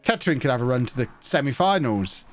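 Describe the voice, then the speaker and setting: A man's voice: mid-range voice, animated, fast talker, faint music behind. A man chatting casually on a live stream, joking and reading out viewer messages while he plays a football management game, with a slightly blocked nose. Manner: chatty, joking, reads chat messages aloud, hums to himself.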